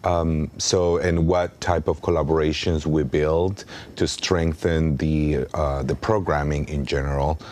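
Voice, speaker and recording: A man with an extremely low, deep voice and a Mexican Spanish accent answers interview questions. He is a native Spanish speaker in his thirties. He speaks earnestly, with frequent ums and restarts. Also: fairly even intonation, measured pace, a little mellow. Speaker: artistic director. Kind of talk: interview guest.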